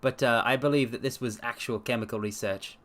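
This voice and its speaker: A man streaming a dramatic story reading, with theatrical, expressive delivery and casual asides. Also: mid-range voice, fairly quick. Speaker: streamer and reader.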